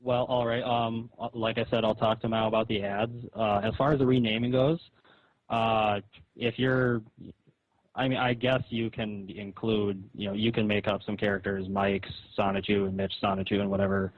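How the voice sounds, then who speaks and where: A man with a low, husky voice talks over a dull phone recording. He is halting and repeats himself as he reproaches the other person in an argument. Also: flat delivery. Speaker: comic artist, caller.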